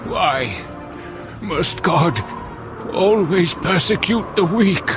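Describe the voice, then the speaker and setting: High, slow, despairing old man. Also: lively intonation, music in the background, heavily acted. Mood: anguished, bitter. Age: older. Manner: anguished, halting.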